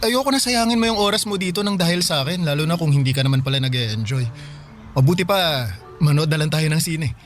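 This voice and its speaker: Male actor for young, caring boyfriend in Filipino radio drama; lightish high pitch, easygoing encouraging talk, music behind. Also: animated, fast, lightly acted. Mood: considerate. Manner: casual, eager, considerate. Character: young, attentive, encouraging boyfriend of an older woman.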